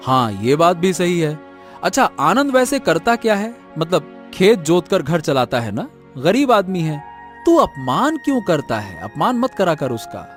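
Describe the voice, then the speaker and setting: A man's voice: a little high, big pitch swings, measured pace, faint music behind. A man playing a busy, kindly factory worker in a cartoon, placating and friendly. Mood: mild reproach.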